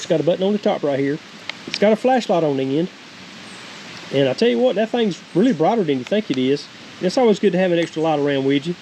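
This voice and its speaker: Fast-talking Southern man, folksy. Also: on the high side, darkish tone, poor audio. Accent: Southern American. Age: middle-aged. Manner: folksy, plain-spoken, repetitive. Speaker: vlogger reviewing a product. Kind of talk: casual product review. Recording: faint hiss and outdoor noise.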